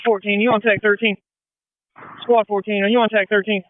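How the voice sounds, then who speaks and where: A man's voice: sits high, little pitch movement, a little fast, dry recording. A man working as a firefighter, giving clipped, urgent messages over a two-way radio. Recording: tinny narrowband two-way radio traffic.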